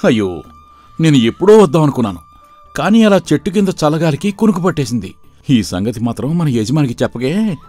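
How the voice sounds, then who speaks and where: A man playing a sly, lazy farmhand who makes glib excuses in an animated moral story. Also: a little high, a little fast, soft background music. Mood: sheepish, wheedling.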